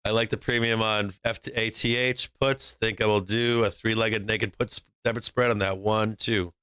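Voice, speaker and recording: A man's voice: fairly low pitch, flat delivery, normal speed, bright, forceful tone, smooth, dry recording. A man from Boston hosting a live stock trading stream, brash and joking, laughing often between calls. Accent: faint Boston. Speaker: stock market livestream host.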